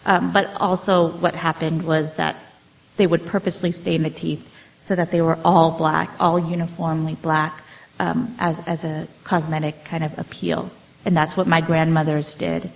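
Woman author, forties, slightly low voice, halting, many ums and restarts. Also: little pitch movement, gravelly, poor audio. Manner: hesitant, many fillers and restarts. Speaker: author answering audience questions. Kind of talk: casual storytelling talk.

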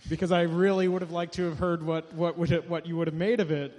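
A man's voice: on the high side, fairly even intonation, fast talker, a little mellow. A man moderating a live author event, asking casual, tentative questions in a roundabout way. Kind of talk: casual live on-stage interview. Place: indoor event hall with an audience. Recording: reverberant hall.

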